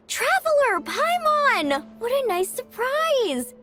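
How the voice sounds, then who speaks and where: A woman's voice: extremely high pitch, big pitch swings, very slow, pushed and bright, faint music behind, heavily acted. A woman playing a sweet, friendly, eager young girl in an anime video game, speaking in an exclamatory way. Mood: pleasantly surprised.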